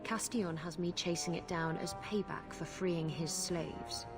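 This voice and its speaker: Wry defiant lady pirate captain for a game, lowish voice, music loud behind her. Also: a little slow. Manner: flippant, wry, salty. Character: brash, unrepentant adult female pirate captain, wry and defiant. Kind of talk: video game character dialogue.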